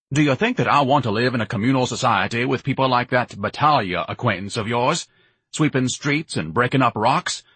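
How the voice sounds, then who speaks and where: A man's voice: medium pitch, fairly bright tone, slightly rough, dry recording, voice pushed up. A male narrator plays a lazy, pompous grown son in a comic audiobook. He declaims in grandiloquent, indignant style, haughty and theatrical, ready to bellow at any slight. The recording is close and dry.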